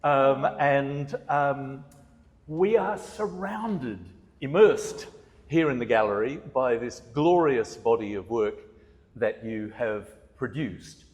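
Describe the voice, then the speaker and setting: A man with a loud, projecting voice, courteously hosting a conversation with an artist at a gallery. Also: medium pitch, animated, takes it slowly. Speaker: host interviewing an artist. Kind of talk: gallery talk, casual conversation. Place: art gallery, large room. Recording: long reverb in a gallery hall.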